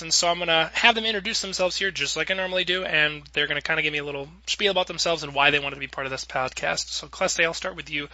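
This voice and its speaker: A man's voice: fairly high pitch, pushed and bright, no room sound, low-quality recording. A man hosting a podcast in a casual, chatty, rambling way. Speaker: podcast host.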